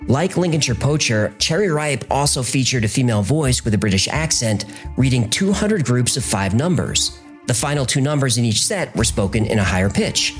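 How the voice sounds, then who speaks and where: Expressive male documentary narrator over music. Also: mid-range voice. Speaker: podcast host narrator.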